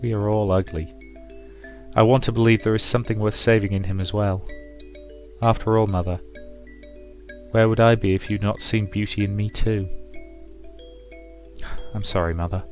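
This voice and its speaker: A man reading the part of a gentle, resolute grown daughter in a visual novel, soft, earnest and measured. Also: lowish voice, fairly even intonation, close to an everyday voice. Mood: forgiving. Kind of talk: dramatic visual novel read-aloud. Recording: music behind the voice.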